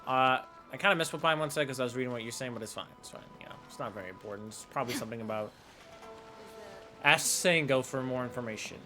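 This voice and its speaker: A man's voice: mid-range voice, fast, music in the background. A man live-streaming a game with casual, rambling, chatty commentary.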